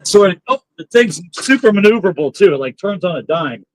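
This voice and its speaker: A man's voice: high voice, animated, fairly quick, no room sound, rough recording. A man chatting and teasing on a pontoon boat while fishing. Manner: teasing, joking. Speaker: fishing companion. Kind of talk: casual chat while fishing. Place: outdoors on a pontoon boat on a lake.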